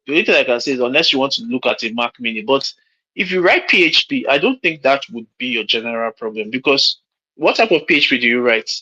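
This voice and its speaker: A Nigerian-accented man in his late thirties talks frankly over a call, circling back and restarting as he gives advice. His voice has a bright, forceful edge. The audio is a little dull and lo-fi. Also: mid-range voice. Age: late 30s. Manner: frank, rambling, repeats himself with restarts. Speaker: mentor hosting a call.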